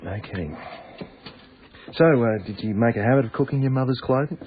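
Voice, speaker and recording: An actor playing a breezy, cheeky Australian tunnel worker in a naturalistic radio drama, with a wry, blunt way of talking. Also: male voice, medium pitch, brisk, natural voice. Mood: wry, chatty. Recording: tinny band-limited radio broadcast, audible noise.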